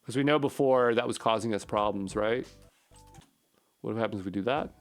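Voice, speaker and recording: A man's voice: lowish voice, moderate pace, faint music behind. A man giving an unscripted coding walkthrough as an instructor, thinking aloud and muttering short repeated phrases as he puzzles things through. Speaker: programming instructor.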